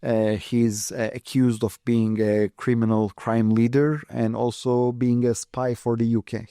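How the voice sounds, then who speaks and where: Turkish-accented man with a mellow voice. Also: medium pitch, level tone, a little slow, smooth, no echo, studio quality. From Turkey. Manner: talks at length with many uh and you know fillers. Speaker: expert guest. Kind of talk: podcast interview, analytical explanation.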